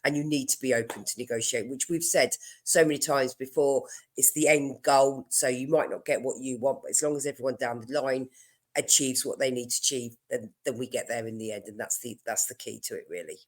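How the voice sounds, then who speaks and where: A woman's voice: extremely low pitch, brisk. A woman mortgage broker with a stuffy nose from a cold, chatting casually and explaining her advice with everyday examples.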